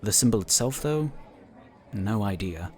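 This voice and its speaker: A man acts out a male inquisitor from a sci-fi audio drama. His voice sits on the low side. He speaks in measured, articulate phrases with dry understatement and a cautious, protective streak. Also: animated, darkish tone. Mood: wry, informative.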